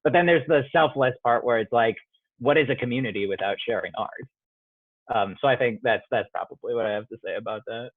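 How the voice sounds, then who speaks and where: A man's voice: mid-range voice, a little fast, no echo. A young man, a playwright, giving thoughtful interview answers with many fillers and restarts over a video call. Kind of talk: reflective casual interview answers. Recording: dry, tinny phone-like sound.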